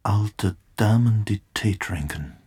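An actor playing a wry, inquisitive investigator in a German radio play, slyly dropping in English phrases. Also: male voice, on the low side, slow, a little mellow, slightly rough. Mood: knowing, secretive. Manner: peppers talk with English phrases, sly.

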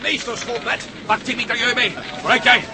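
High-pitched, commanding adventurer amid noise. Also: male voice, fairly even intonation, brisk. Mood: hard, commanding. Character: bold, wry male adventurer, tough and commanding. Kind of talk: radio play acting. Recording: noisy radio drama with sound effects.

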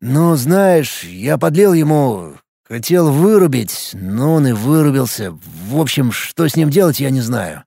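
A man's voice: high voice, animated, brisk, vocal fry, a little mellow, no echo, studio quality, voice pushed up, full character voice. A man voicing a jealous, menacing older brother who schemes desperately and pushes insistently in an audiobook story. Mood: hesitant, guilty. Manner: pressuring, insistent.

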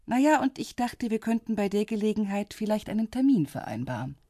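A woman's voice: medium pitch, very animated, fairly quick, warm tone, no room sound, studio quality. An actress playing a polite, composed woman of about forty in a radio drama, courteous and slightly hesitant. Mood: polite, tentative. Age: middle-aged.

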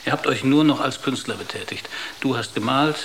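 A man's voice: medium pitch, brisk, a bit bright, slight background noise, close to an everyday voice. A man playing an insistent spaceship crewmate in a German sci-fi radio drama, explaining in a plain-spoken way.

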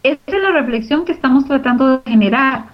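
A woman's voice: high-pitched, lively intonation, a little fast. A woman explaining things conversationally as an interview guest. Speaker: interview guest, radio drama producer. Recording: dull lo-fi audio, music behind. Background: clear background music.

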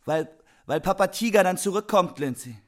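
A man's voice: high-pitched, expressive, normal speed, music in the background. A man playing a dreamy, sardonic, eccentric young musician in a German radio play. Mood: wry, bitter.

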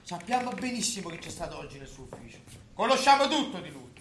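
An actor playing a slippery, bombastic politician on stage, speaking in an emphatic, insinuating, conspiratorial way. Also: male voice, high voice, big pitch swings, quick pace, fairly bright tone, exaggerated voice. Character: slippery, bombastic male politician hinting at intrigue. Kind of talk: comic stage acting. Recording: echoey theatre, faint noise.

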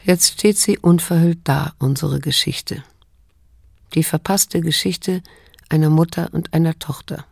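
Creaky-voiced, restrained grown daughter. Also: female voice, low-pitched, fairly even intonation, darkish tone, no room sound, full character voice. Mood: reflective, sorrowful. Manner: measured, introspective. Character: restrained, wounded grown daughter, quietly reflective. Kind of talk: radio drama monologue and dialogue.

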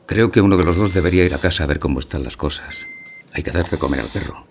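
A worried, supportive husband in a radio drama. His very low voice has a gravelly creak and moves quickly, over faint background noise. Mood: gently practical.

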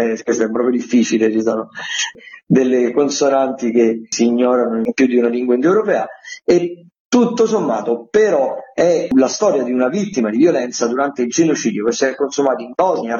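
A man hosting a radio show, reading out theatre listings in a semi-improvised, digressive way, often halting and correcting himself with asides. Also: mid-range voice, dark tone, muffled recording.

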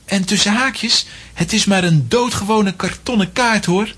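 Very husky, sensible young boy. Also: mid-range voice, unhurried, fairly bright tone. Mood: sensible, mildly impatient. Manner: matter-of-fact, explanatory. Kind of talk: children's audio drama acting.

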